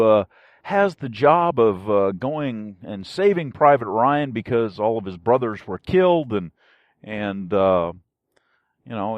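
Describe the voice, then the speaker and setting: A man talks alone as a casual podcast host, rambling and often stopping to search for the next word. His folksy delivery moves up and down in a lively way. The recording is dry and close, with a slightly dull, lo-fi sound. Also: mid-range voice, no room sound. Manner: halting, rambling, searching for words. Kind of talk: casual solo podcast monologue.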